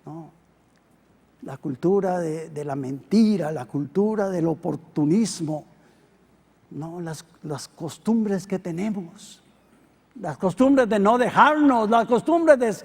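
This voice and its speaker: A middle-aged Costa Rican pastor preaching a sermon, swinging between loud emphatic peaks and quiet low asides. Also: male voice, expressive, slow pace. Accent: Costa Rican Spanish. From Costa Rica. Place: church hall. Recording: long hall reverb.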